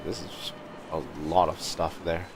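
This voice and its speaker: A male livestreamer with a deep voice gives casual game commentary. He muses aloud at a slow, unhurried pace, with music clearly playing behind him. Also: flat delivery. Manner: musing, thinking aloud.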